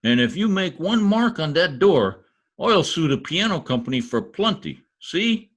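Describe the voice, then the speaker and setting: A man's voice: a little high, big pitch swings, a little slow, fairly bright tone, heavily acted. A man playing a blustering, stubborn small-town husband in folksy, slangy old-time radio comedy style. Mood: pleading, indignant.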